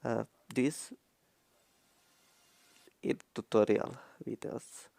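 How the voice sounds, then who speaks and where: A young man who is not a native English speaker, recording a rambling phone tutorial in halting broken English full of restarts, on a handheld microphone. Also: on the low side, steady tone, creaky, mellow, no room sound. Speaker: tutorial vlogger. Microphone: handheld microphone he keeps touching.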